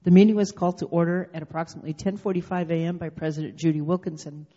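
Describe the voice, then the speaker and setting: A woman, the club secretary, reading out meeting minutes in a steady way. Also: lowish voice, racing. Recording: muffled echoey hall sound.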